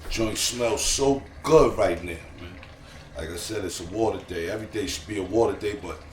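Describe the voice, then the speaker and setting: A man with a New York accent and a slightly hoarse, froggy voice, chatting casually to viewers while he cooks in his home kitchen. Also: on the low side. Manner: laid-back slangy chatter to viewers. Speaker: home cooking vlogger. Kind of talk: casual cooking vlog talk. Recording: echoey room with background noise.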